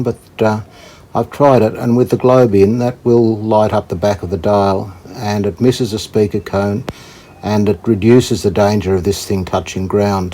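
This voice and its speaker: A man giving casual hands-on commentary as a hobby restorer, thinking aloud in a tentative, halting way. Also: lowish voice, dark tone. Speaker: host, hobbyist radio restorer. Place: indoors, hobby workshop. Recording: clear background noise.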